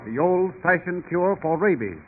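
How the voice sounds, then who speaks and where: A man's voice: high voice, animated, moderate pace. An American man announcing and narrating in a polished, dramatic, emphatic 1930s radio style. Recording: tinny 1930s radio, music behind.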